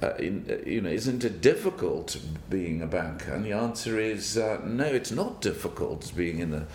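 An older man from South Africa, a banker who tells his life story in an interview, speaking in a halting, thoughtful way and chuckling now and then. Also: expressive, darkish tone. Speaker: guest, banker. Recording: roomy with faint rumble.

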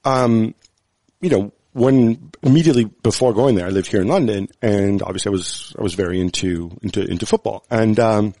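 A man's voice: lowish voice, mellow, dry recording. A man born in Italy with an American accent, a guest journalist speaking in a wry, casual way. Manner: wry, self-deprecating, halting restarts. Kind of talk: casual conversation.

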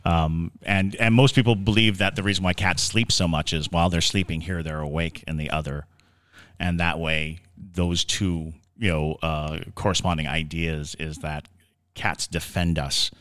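A man with a very deep voice, recorded dry and close. He explains things conversationally as a podcast co-host, pausing and doubling back on words as he goes. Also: steady tone, a bit bright, no echo. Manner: halting, explanatory, repeats words while forming thoughts.

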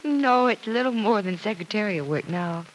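A woman's voice: mid-range voice, expressive, moderate pace, natural voice. An actress playing a cheerful, reassuring young working woman in an old-time radio soap opera. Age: young adult. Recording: noisy old radio recording.

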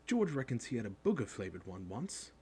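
A man's voice: medium pitch, sing-song, brisk, darkish tone, slight background noise, close to an everyday voice. A man voicing a blunt, chatty young schoolboy with a casual, matter-of-fact manner in a story. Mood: warning, chatty.

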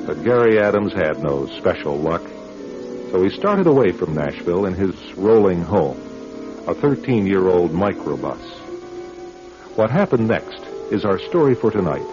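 A man's voice: sits low, sing-song, moderate pace. A man narrating a suspense radio drama as announcer, in a formal, dramatic manner. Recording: dull radio recording over music.

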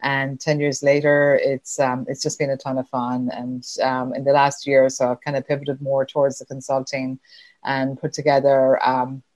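A woman's voice: a bass voice, completely flat delivery, normal speed, a little mellow, no room sound. A middle-aged Irish woman with an Irish accent, chatting casually as a podcast guest, laughing often and using lots of fillers. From Ireland. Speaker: podcast guest, sponsorship consultant. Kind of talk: casual interview chat.

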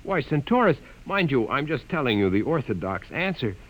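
Brief: young scientist, mid pitch, very lively intonation, earnest and easily alarmed, old radio play. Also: male voice, normal speed. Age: young adult. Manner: earnest, indignant, often alarmed. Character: proud, frightened young male scientist. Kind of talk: 1950s radio drama acting.

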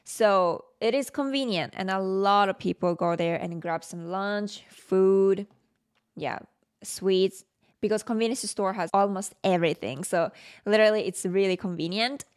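A woman's voice: mid-range voice, measured pace, clean tone. Japanese woman, casual explanatory podcast talk. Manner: conversational, explanatory. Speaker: podcast host.

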